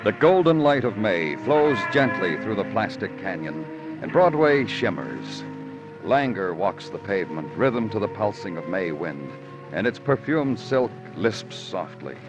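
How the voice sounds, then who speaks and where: A brooding police detective in vintage radio noir. His voice is low and swings widely in pitch, with a hard-boiled, moody delivery. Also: very animated, a little slow, over music, rough recording. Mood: lyrical, moody. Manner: clipped in dialogue, florid and lyrical in narration. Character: terse, brooding male homicide detective, poetic narrator. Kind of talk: 1950s American radio noir acting.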